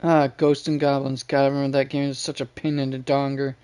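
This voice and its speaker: A man's voice: mid-range voice, monotone, fast, darkish tone, smooth. A man chatting casually and rambling a little as he hosts a live game stream. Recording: music playing behind the voice. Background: music.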